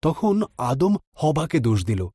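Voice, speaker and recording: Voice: medium pitch, very animated, slow pace, warm tone, husky, no echo, studio quality. A narrator telling a scripture story for children from a script.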